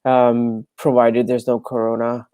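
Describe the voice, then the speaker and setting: Young male founder from Dubai/Pakistan, hesitant, warm dark tone, dry close sound. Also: medium pitch, monotone, a little slow, smooth, no room sound. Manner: hesitant, many fillers and restarts. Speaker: startup founder, guest. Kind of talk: casual video interview. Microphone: wired earphones on video call.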